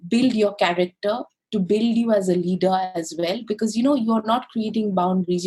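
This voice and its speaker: A woman's voice: mid-range voice, darkish tone, slightly rough. A woman from India and Greece talking conversationally and reflectively as an interview guest, often saying "you know". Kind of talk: conversational personal storytelling.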